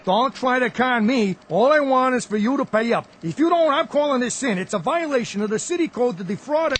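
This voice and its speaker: An actor playing a weary, impatient working-class cab driver in a radio drama, curt and plain-spoken. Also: male voice, very high voice, lively intonation, a little fast. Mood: impatient, irritated.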